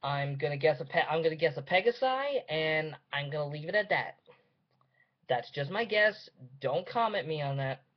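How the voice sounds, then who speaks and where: A young man giving casual, off-the-cuff commentary, halting and repeating himself as he thinks aloud. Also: fairly high pitch, expressive. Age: young adult. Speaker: reaction video host. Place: small dim room indoors. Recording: slightly dull webcam audio.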